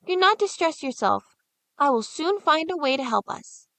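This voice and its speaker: A woman voicing a brave young boy, a gentle older brother who speaks in a soft, earnest, soothing way. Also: high-pitched, sing-song, moderate pace, vocal fry, dry recording, voice pushed up, full character voice. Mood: gentle, reassuring.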